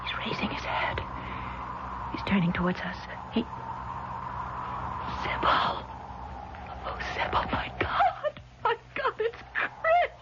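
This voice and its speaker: Grieving devoted sister, intense, melodramatic 1970s radio drama, dramatic pitch swings, noisy vintage recording. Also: female voice, huge pitch swings, slow pace, pauses often, dull audio, full character voice. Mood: shocked. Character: grieving, devoted, hysterical sister of a dead man.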